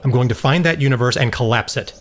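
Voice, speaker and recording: A man's voice: mid-range voice, quick pace, a little hiss or hum, natural voice. Male actor for resolute ex-military man in audio drama. Middle register, grave, argumentative delivery. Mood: grim, determined. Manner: firm, serious, argumentative. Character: resolute, hard-line former soldier.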